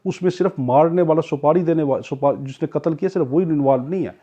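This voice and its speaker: Husky Pakistani man lecturing, muffled audio. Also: fairly high pitch, warm tone. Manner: repeats and rephrases key points for students; reads English legal phrases out slowly. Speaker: law lecturer. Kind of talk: explanatory classroom lecture with examples.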